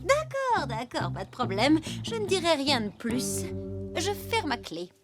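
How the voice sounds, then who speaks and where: High, fretful cartoon know-it-all critter. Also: female voice, big pitch swings, measured pace. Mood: worried. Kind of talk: French children's cartoon voice acting. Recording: cartoon music under the voice.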